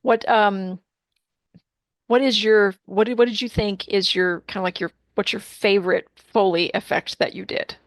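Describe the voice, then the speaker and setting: A woman's voice: medium pitch, fairly even intonation, normal speed, dry recording. A woman with a mid-range voice conducts an informal interview. She comes across as eager and appreciative. Her voice is recorded dry, with hardly any room sound. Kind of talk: casual interview chat.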